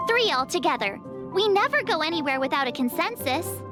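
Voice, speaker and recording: A woman voicing an outspoken, excitable young space-traveling girl in a video game, emphatic and indignant. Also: sits high, brisk. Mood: bossy, conspiratorial. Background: game music.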